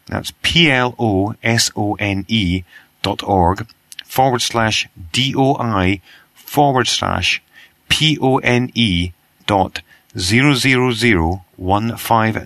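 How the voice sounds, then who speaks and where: A British man hosting a news podcast, reading the bulletin in a croaky voice from a cold and spelling out web addresses slowly. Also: fairly low pitch, fairly bright tone, dry recording. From Britain.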